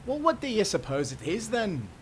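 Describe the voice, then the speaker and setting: A man's voice: high-pitched, very animated, normal speed, slight background noise. A man playing a cheerful, dreamy young sailor with old-time nautical speech in an audio roleplay. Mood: cheerful, wondering. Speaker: sailor guide and companion.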